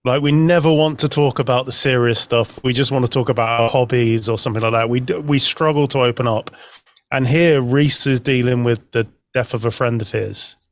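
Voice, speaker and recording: A man's voice: medium pitch, fairly even intonation, a little fast, dry recording. A man talking casually and reflectively as a guest on a podcast. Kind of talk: casual discussion.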